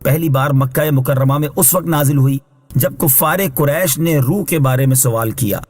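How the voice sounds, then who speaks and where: A man reading scripted Islamic translation and commentary in a measured, formal, instructive way, as a scholar would. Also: medium pitch, a little mellow, slightly rough. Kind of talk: scripted religious exegesis narration.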